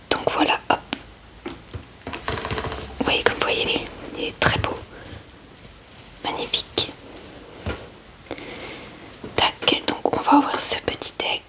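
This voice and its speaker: A woman whispers a gentle, hesitant, unhurried ASMR commentary close to the microphone as she hosts. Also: low-quality recording. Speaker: ASMR host showing a card collection.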